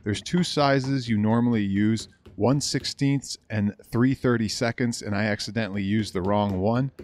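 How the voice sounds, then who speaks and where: A man's voice: on the low side, normal speed, darkish tone, slight background noise. A middle-aged American man narrating a DIY build as a hobbyist, in a plain, matter-of-fact explanatory voice-over. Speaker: hobbyist video host.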